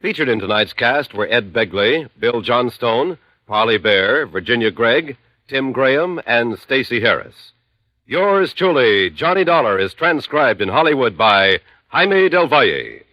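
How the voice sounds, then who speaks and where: A man's voice: mid-range voice, big pitch swings, measured pace, no echo, dull audio. A man with an American accent reading scripted credits as a 1950s radio announcer.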